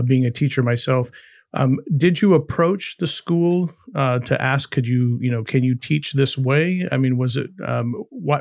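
A man hosting an academic podcast, opening with a scripted intro and then asking questions in an upbeat, measured way. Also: medium pitch, gravelly, darkish tone, no echo, clean studio sound. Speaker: podcast host.

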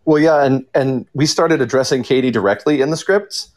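A man's voice: mid-range voice, a little fast, darkish tone. A man who writes audio drama, telling anecdotes in an informal, self-deprecating way during a casual panel discussion.

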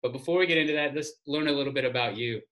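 A man's voice: mid-range voice, racing, a bit bright. A man hosting an interview podcast, chatty and enthusiastic, with many fillers and restarts. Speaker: podcast host. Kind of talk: interview podcast intro and chat.

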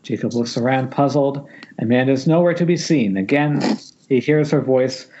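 A man's voice: mid-range voice, moderate pace, mellow. A man reading stage directions at an informal script table read, with wry delivery, over a lo-fi internet call.

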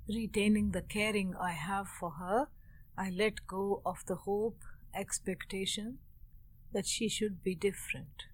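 A woman leading a guided meditation, speaking in short, measured phrases with long pauses between them. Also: mid-range voice, fairly even intonation, husky, poor audio. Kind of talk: guided meditation with opening Sanskrit chant.

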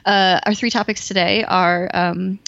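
A woman's voice: mid-range voice, steady tone, unhurried, vocal fry, fairly bright tone, no room sound, lo-fi sound. A woman co-hosting a casual podcast chat. She rambles with plenty of fillers and laughs easily. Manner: giggly, rambling, lots of fillers. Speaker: podcast co-host.

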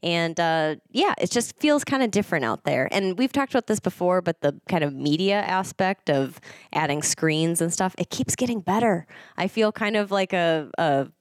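A woman's voice: fairly low pitch, expressive, normal speed, no room sound, studio quality. A woman co-hosting a podcast, chatting casually in an easygoing, loosely worded manner. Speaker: podcast co-host. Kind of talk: casual chat.

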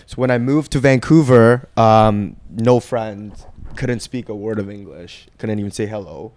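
A man's voice: lowish voice, unhurried, a little mellow, dry recording. A young man from Korea, a guest on a casual podcast, telling his life story in a laid-back way with lots of fillers. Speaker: guest, boxing trainer.

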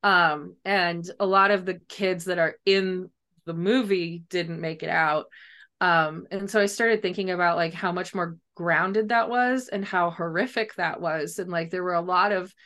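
A woman's voice: on the low side, fairly even intonation, measured pace, fairly bright tone. A woman talking casually as a guest author in an interview, chatty and loose, often saying like and kinda. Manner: chatty, hedging, frequent "like" and "kinda".

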